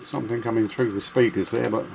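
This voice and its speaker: Male, mid-pitched with vocal fry, halting off-the-cuff equipment demo, light background noise. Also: steady tone, a little mellow. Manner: halting, thinking aloud. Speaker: vintage audio equipment collector. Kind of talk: unscripted hands-on demonstration, casual talk.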